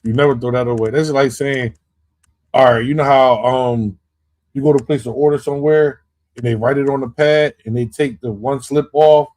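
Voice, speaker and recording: Guest, male, mid-pitched, emphatic and repetitive. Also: fairly even intonation, normal speed, a little mellow. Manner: emphatic, repeats points for stress. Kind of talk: casual chat.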